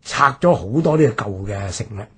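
A man with a Hong Kong Cantonese accent, explaining history as a radio presenter with drawn-out phrase endings. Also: on the low side, animated, a bit bright, breathy edge.

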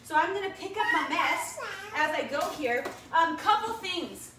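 A woman's voice: very high voice, expressive, normal speed, sharp tone. A woman in her thirties chatting casually to viewers as she cooks in her home kitchen, upbeat and self-mocking, recorded by a camera across the room. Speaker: home cooking vlogger. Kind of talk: casual cooking demo to camera. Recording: echoey kitchen, distant mic, background noise.

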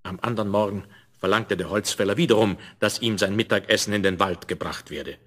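A man's voice: on the low side, a little fast, fairly bright tone. A man narrating a scripted fairy tale in the manner of a 1970s children's audio play. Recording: noisy vinyl rip with clicks.

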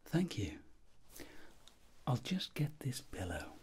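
A middle-aged man speaking in a breathy whisper, slow and reassuring, in an ASMR roleplay as a doctor examining a patient. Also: medium pitch, very animated. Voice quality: breathy whisper, soft-spoken. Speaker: ASMR performer acting as a doctor.

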